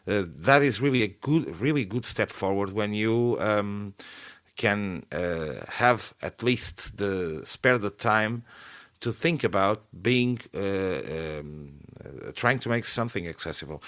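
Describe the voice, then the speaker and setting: A man's voice: lowish voice, a bit bright, no echo, studio quality. A man with a Portuguese accent, a native Portuguese speaker, talking in a casual online round table in a hesitant, encouraging way with many fillers.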